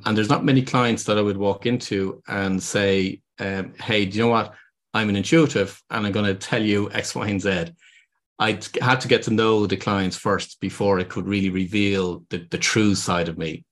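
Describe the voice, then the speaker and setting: A man with an Irish accent, a podcast guest and business consultant, explaining earnestly with frequent ums and restarts. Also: lowish voice. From Ireland. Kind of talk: podcast interview.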